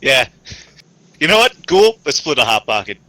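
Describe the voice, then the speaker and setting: A man's voice: medium pitch, big pitch swings, moderate pace, sharp tone, poor audio. A man joking as a tabletop game player, full of mock-indignant complaints. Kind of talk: casual joking banter during roleplay game.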